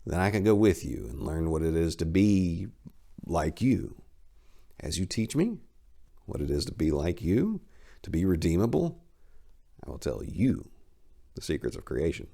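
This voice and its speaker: A man reading aloud as an ancient fallen angel pleading in a storybook horror tale. The voice sits very low with a gravelly edge, measured and solemn, rising and falling widely as it bargains. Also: sing-song, mellow, full character voice. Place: study lined with bookshelves. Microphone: lapel mic.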